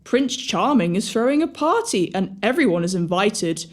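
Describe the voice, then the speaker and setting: A woman playing an excitable, naive young pantomime sidekick who scares easily, with an eager comic manner. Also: mid-range voice, normal speed. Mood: chirpy. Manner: wide-eyed, comic, eager. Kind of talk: audio pantomime acting.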